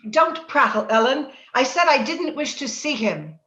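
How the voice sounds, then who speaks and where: A woman playing a passionate, troubled young gentlewoman in a radio drama, with an emotional, dramatic delivery. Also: high-pitched, animated, unhurried, full character voice. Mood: tense, curt. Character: Cathy, passionate, troubled young gentlewoman, now Edgar's wife. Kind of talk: old-time radio drama acting. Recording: roomy, dull video-call audio.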